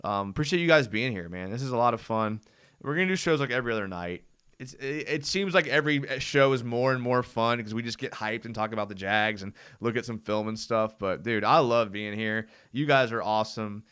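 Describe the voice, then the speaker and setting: A young man with a smooth, clean voice at a middling pitch, chatting loosely on a live stream. He rambles in a relaxed way, full of "dude" and "I mean," and his voice sounds worn down from a long session of talking. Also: a little fast. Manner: laid-back, rambling, frequent "dude" and "I mean". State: voice going hoarse from long talking. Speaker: host of a live fan show. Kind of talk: casual live-stream chat.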